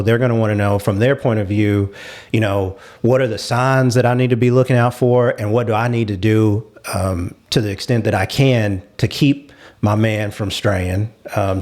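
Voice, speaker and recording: A man's voice: fairly low pitch, a little mellow. A man hosting a panel discussion, thinking aloud in an earnest, conversational way. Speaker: show host.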